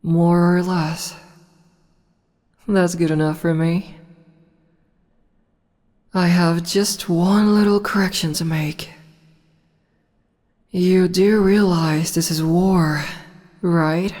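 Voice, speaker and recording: A woman's voice: fairly low pitch, a little mellow, big-room sound. A woman voicing a haughty, commanding demon empress who teases in a condescending way, in an audio roleplay. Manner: condescending, slow and teasing. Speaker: voice actress.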